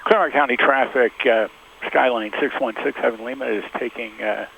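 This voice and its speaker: A man speaking as a private pilot, making procedural radio calls with hesitant pauses over an aviation headset. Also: mid-range voice, steady tone, gravelly, a bit bright. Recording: phone-like noisy cockpit radio.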